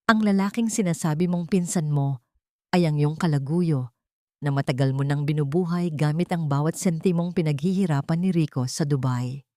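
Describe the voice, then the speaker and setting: A woman voicing a wealthy, vengeful grown son in an audio story, speaking in a stern, deliberate, commanding way. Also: low-pitched, a little mellow, no room sound, clean studio sound, lightly acted. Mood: cold, accusing.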